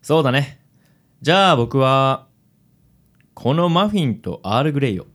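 Smooth playboy guy acted out, theatrical and gallant, mid pitch, tosses in english words. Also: very animated, normal speed, fairly bright tone. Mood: suave, gentle. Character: suave, gallant playboy man nicknamed Handsome. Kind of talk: radio drama acting.

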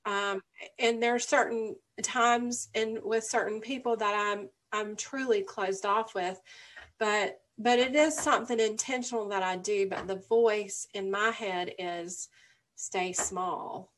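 A woman's voice: mid-range voice, steady tone, slow pace, a bit bright. A middle-aged woman speaking thoughtfully and openly, searching for her words in a casual conversation.

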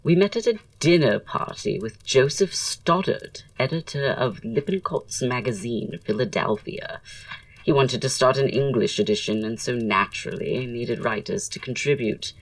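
Woman playing a very low, creaky, flamboyant Victorian playwright. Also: expressive, normal speed, distant mic, rough recording. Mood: reminiscing, grand. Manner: theatrical, drawling, arch, florid wording. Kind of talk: audio drama acting.

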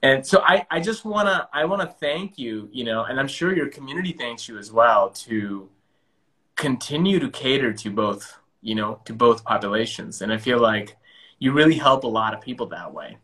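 A man's voice: medium pitch, lively intonation, moderate pace, a bit bright. A man whose first language is Punjabi hosting a live-stream interview, enthusiastic and often repeating words as he starts sentences.

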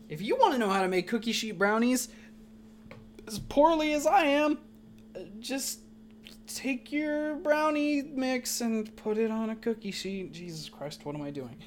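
A woman's voice: fairly high pitch, slight background noise. A woman hosting a casual chat podcast with a dry, teasing manner. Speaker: podcast host.

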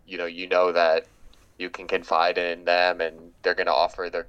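A man with a very deep, smooth voice hosts a casual conversation. He speaks in a flat, even tone and works through his thoughts slowly and hesitantly, with plenty of fillers. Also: muffled recording.

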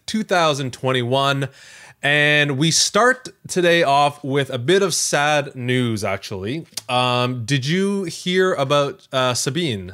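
A man's voice: on the high side, a bit bright. A man hosting a podcast in a casual chat style, conversational and often hedging as he thinks aloud. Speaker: podcast host.

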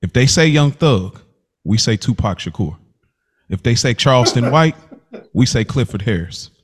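A man's voice: medium pitch, lively intonation, normal speed, breathy edge. A man hosting a podcast in a casual, easygoing, slangy chat style. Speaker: podcast host.

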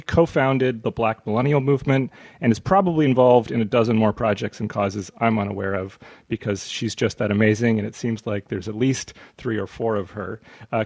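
An American man with a slightly husky voice, speaking as the host of an interview show. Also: medium pitch, darkish tone, no echo. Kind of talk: scripted show introduction and interviewing.

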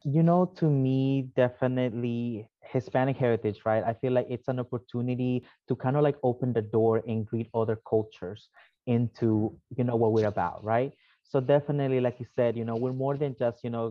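A man's voice: mid-range voice, no room sound. A man from El Salvador, a podcast guest, explaining his views casually with many fillers like "you know". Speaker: podcast guest, alumni network vice president. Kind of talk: casual interview answers. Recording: dull, dry lo-fi sound.

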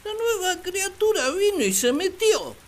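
A woman's voice: very high voice, very animated, measured pace, full character voice. A woman playing a naive, grumbling first man who loves solitude, with whiny, exaggerated delivery in a radio drama. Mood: annoyed, puzzled. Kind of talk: radio drama monologue and dialogue.

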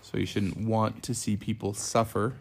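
A middle-aged man with a low, creaky voice talks through scripture in a casual discussion. He thinks aloud haltingly as he explains. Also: flat delivery, slight background noise. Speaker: family scripture reading host.

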